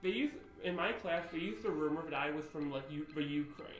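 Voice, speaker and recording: A man with a lisp co-hosting a podcast in casual chat, rambling and jokey with quick tangents. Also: fairly high pitch, roomy sound, soft background music. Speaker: podcast co-host.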